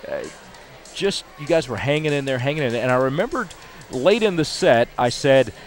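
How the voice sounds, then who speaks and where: A man's voice: mid-range voice, lively intonation, normal speed, faint music behind. Chatty older male sportscaster. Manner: chatty, stat-heavy, upbeat delivery. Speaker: sports broadcaster. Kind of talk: postgame interview. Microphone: headset mic.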